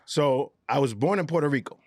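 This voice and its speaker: A man, a guest on a casual podcast, relaxed and slangy, often trailing off quietly. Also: on the high side, brisk, no echo, studio quality. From New York.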